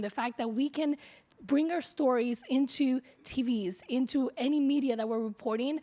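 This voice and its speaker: A woman journalist giving an award acceptance speech in a lively way, mixing in Spanish phrases. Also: a little high.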